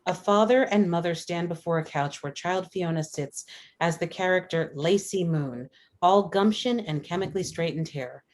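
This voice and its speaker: Low-voiced woman reading screenplay narration. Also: normal speed. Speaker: stage-directions reader.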